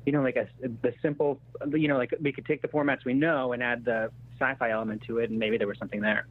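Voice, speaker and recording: Screenwriter guest, male, thirties. Halting, self-deprecating, conversational; narrow telephone-band audio. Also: medium pitch, level tone, a little hiss or hum. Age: 30s. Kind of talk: casual interview chat. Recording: thin phone-like radio-style sound.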